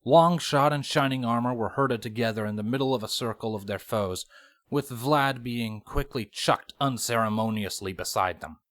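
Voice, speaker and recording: A man's voice: fairly low pitch, a bit bright. A man reading fan fiction as scripted audiobook narration, in a dramatic storytelling manner.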